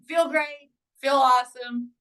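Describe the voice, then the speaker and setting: Woman, forties, raspy and hoarse; upbeat encouraging podcast host, fairly high-pitched. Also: steady tone, unhurried, sharp tone. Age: 40s. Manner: upbeat, encouraging, rambling with fillers. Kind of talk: casual podcast monologue.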